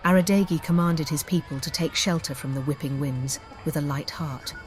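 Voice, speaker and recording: A woman narrating a scripted dark fantasy story in a dramatic, deliberate audiobook style. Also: low voice. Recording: music bed behind the voice. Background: background music.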